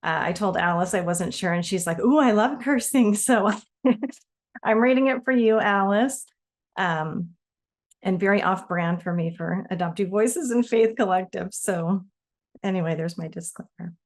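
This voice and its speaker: A woman's voice: mid-range voice, unhurried. A woman author speaking at a literary reading, presenting her own fiction. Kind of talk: reading own novel aloud, with informal introduction.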